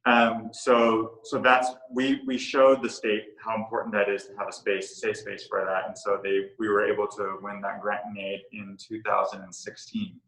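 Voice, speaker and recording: A middle-aged man, the co-founder of a coworking space, talking casually and haltingly with many fillers and restarts. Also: on the low side, level tone, a bit bright. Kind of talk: casual talk on a virtual tour. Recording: echoey room.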